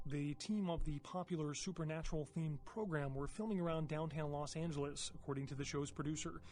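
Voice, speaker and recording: An actor playing a male television news anchor in a video game, reading a scripted report with formal, brisk delivery. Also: on the high side, steady tone, darkish tone, close to an everyday voice.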